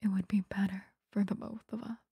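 Girl doing a possessive yandere vampire lady, super breathy asmr whisper, soft mellow tone, dry and close up. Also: mid-range voice, flat delivery, no room sound. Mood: softly threatening. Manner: slow, intimate, coaxing, threatening. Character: possessive, obsessive female vampire lover, sweetly menacing. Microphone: close microphone, dry.

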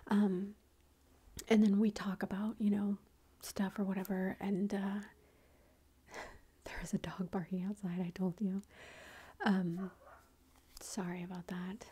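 A woman's voice: medium pitch, flat delivery, slow, pauses often. A woman whispering through a long personal story, with many fillers and quoted lines of dialogue. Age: adult with a son. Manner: whispering, soft and breathy. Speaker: vlogger.

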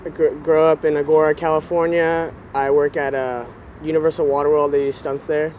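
A man's voice: fairly high pitch, level tone, a little fast, a little mellow, muffled recording, a little hiss or hum. An eighteen-year-old man who does stunts, answering interview questions earnestly outdoors. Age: teens.

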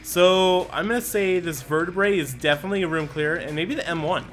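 A man giving casual, excitable commentary as he plays a video game, often talking to himself. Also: sits high, fairly quick. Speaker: gaming YouTuber. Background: game music and gunfire.

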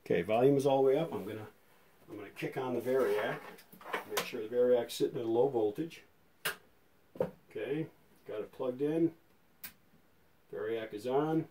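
A man's voice: medium pitch, normal speed, long pauses, background noise. A man giving a casual hands-on demonstration as a vintage radio restorer, thinking aloud in an informal, tentative way.

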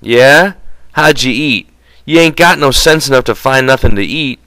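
A man's voice: mid-range voice, moderate pace, sharp tone, no echo, pitched above the performer's own voice, close to an everyday voice. A man voicing an impatient but protective migrant ranch hand in an audiobook, with blunt, folksy rural speech. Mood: irritated.

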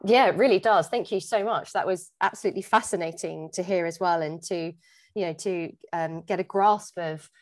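A woman's voice: fairly low pitch, normal speed, a little mellow, no echo, clean studio sound. A woman hosting a conversational interview, attentive and a little tentative as she phrases her questions. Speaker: interviewer, podcast host.

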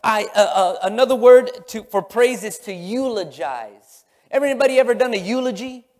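A man's voice: high-pitched, animated, moderate pace. A middle-aged man preaching a sermon in an emphatic, repetitive way from a church stage. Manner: emphatic, repetitive, swinging from loud exclamations to hushed murmurs. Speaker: pastor preaching. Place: large church sanctuary, on stage. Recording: long hall reverb from sanctuary.

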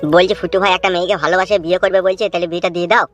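Someone playing a fast, pushy cartoon pal. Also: mid-range voice, steady tone, fairly bright tone, soft background music, lightly acted. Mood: coaxing. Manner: coaxing, insistent. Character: pushy loyal young male friend, persuasive schemer. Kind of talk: animated comedy cartoon dialogue.

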